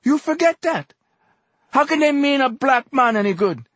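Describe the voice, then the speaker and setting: A furious, anguished street orator in an audiobook. His voice leaps high and loud as he declaims and pleads, hammering repeated phrases in dialect. The sound is clean, close and dry. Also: lively intonation, slow pace, slightly rough, no echo, full character voice. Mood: angry exhorting. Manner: impassioned, declamatory, emphatic repetition in dialect. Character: heavyset, anguished, furious male street exhorter, passionately pleading. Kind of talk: audiobook character dialogue.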